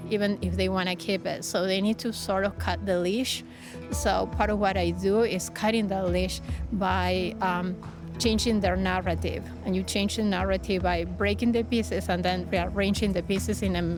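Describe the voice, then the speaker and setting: A woman, an interviewee who talks in a halting way, with many fillers and restarts. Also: mid-range voice. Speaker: interviewee, psychotherapist and art therapist. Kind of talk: casual interview talk.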